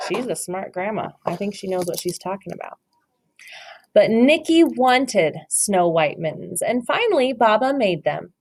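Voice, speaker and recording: Expressive woman reading to children. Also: medium pitch, a little slow. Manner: encouraging, asks children questions. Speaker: teacher reading aloud. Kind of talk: children's read-aloud.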